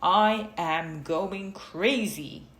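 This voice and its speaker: A woman's voice: fairly low pitch, drawn-out words, a bit bright. A woman voicing an exasperated, angry storybook man who complains emphatically in a sing-song list. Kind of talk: storybook read-aloud character. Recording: roomy, noticeable background noise.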